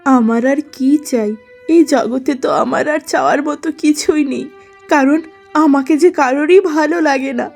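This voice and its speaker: A woman voicing a gentle, sorrowful elder sister in a melodramatic cartoon story, plaintive and self-pitying. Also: sits high, normal speed, soft background music.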